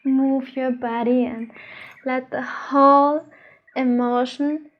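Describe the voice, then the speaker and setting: High-voiced slow woman guiding meditation. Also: little pitch movement, muffled recording. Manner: soothing, unhurried, gentle instructions with pauses. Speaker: meditation teacher, channel host. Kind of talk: guided meditation.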